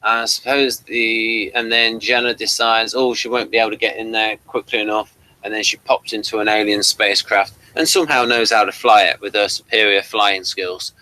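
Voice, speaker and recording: A man's voice: lowish voice, fairly even intonation, moderate pace, hardly any pauses, bright, forceful tone, poor audio. A British man co-hosting a casual review discussion, explaining things in a hesitant, rambling way. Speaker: podcast co-host.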